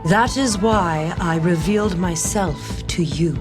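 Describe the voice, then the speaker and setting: An actress playing a calm, mysterious blind shepherd woman in an audio drama, speaking in a measured, formal, portentous way. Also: on the low side, over music. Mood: serene curiosity. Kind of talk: audio drama table read acting.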